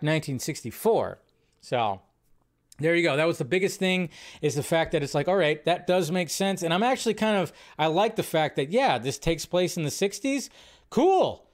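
Casting: male film news host, slightly high-pitched voice, off-the-cuff and rambling, mildly profane. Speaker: host of a live film and comics news show. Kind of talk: casual unscripted commentary on film news.

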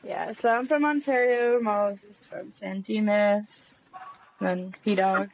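A twenty-year-old woman who plays bass in a band, chatting in an upbeat way and laughing easily. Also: fairly even intonation, slow, gravelly, dull audio, a little hiss or hum. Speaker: band bassist. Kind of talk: casual interview chat.

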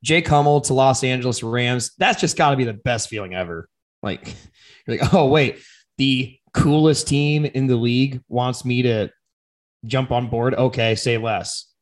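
A young man co-hosting a casual sports podcast, animated and slangy, his voice a bit worn. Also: mid-range voice, measured pace. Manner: animated, laughs often, slangy. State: voice partly lost. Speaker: podcast co-host.

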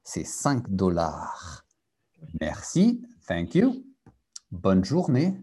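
Man, French teacher, husky voice, slow and deliberate, lively pitch, video call. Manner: switches between French and English, repeats key phrases for learners. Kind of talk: live online group lesson.

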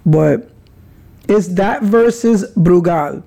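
A middle-aged man from the Bronx talks through his podcast in a loose, rambling way. His voice sits high for a man and is gravelly and dark. He hedges and circles back as he goes. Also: very slow. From New York, the Bronx. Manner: rambling, hedging, conversational. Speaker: podcast host. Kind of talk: casual solo podcast.

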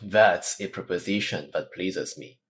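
A man's voice: sits low, measured pace. A man reading the part of a proud, wounded noble swordsman, in a formal and courtly manner. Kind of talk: audiobook character reading.

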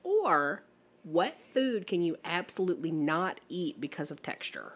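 A woman hosts a relaxed podcast chat in a slightly low voice. She sounds husky from a recent flu, and she talks in a chatty, halting way, often restarting her sentences. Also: highs cut off. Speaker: podcast host. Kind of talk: casual podcast interview.

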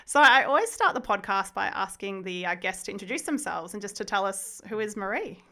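A woman's voice: medium pitch, lively intonation, brisk, bright, forceful tone. A woman hosting a podcast, introducing the episode and interviewing her guest in an upbeat, welcoming way. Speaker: podcast host.

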